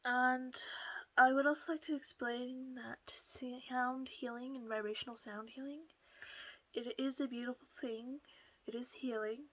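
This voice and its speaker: Woman, soft voice, slightly high, breathy half-whispers, rambling casual talk, headset at home. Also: fairly even intonation, measured pace, low-quality recording. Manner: rambling, with little laughs. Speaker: channel host. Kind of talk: casual solo talk to camera.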